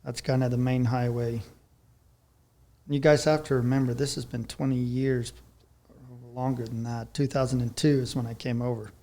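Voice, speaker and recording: A man's voice: medium pitch, little pitch movement, normal speed, mellow. Easygoing South African man recalling his childhood. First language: Afrikaans. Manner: easygoing, rambling recollection. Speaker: guest. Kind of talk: casual interview storytelling.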